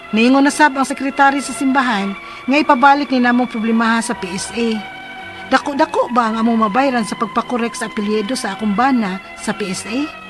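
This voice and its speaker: A troubled young wife , acted by a woman, pleads earnestly in a high voice with a Cebuano Filipino accent. It is radio drama delivery, part dialogue and part letter narration, over a music bed. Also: natural voice. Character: troubled pregnant young wife seeking advice.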